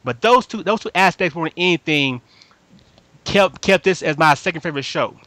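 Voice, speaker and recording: A man's voice: fairly high pitch, lively intonation, normal speed, pushed and bright, dry recording. A man hosting a casual fan chat, talking emphatically in slangy speech, repeating himself and often checking that listeners follow. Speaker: podcast host reviewing anime.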